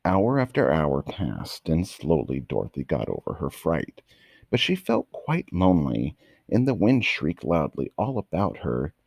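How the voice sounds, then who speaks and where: A man with a gravelly, husky voice reading a children's bedtime story aloud in an expressive, dramatic manner. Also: very deep voice, unhurried, no echo, clean studio sound.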